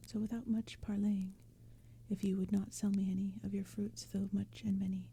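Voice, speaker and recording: Whispering woman, very dark and soft. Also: medium pitch, little pitch movement. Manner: gentle, measured, hushed delivery. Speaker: narrator and reader. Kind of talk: ASMR poetry audiobook reading. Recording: background crackling fire and forest ambience. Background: crackling fire and forest sounds.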